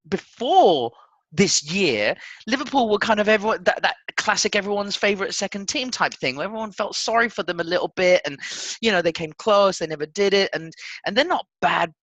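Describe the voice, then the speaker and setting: Bright, animated man chatting about football. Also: sits high, moderate pace, dry recording. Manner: hesitant, repeats words while thinking aloud. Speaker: football podcast co-host. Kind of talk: casual chat, football banter.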